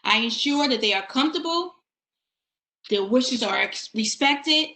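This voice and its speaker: A woman end-of-life doula on a video call, giving composed, measured, heartfelt remarks about her work. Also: on the high side, animated, sharp tone, reverberant. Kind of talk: prepared panel remarks.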